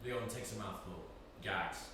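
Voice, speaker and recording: A man's voice: fairly low pitch, unhurried. A man giving scripted narration, reading out stage directions for a play. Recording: very echoey, distant mic, noisy.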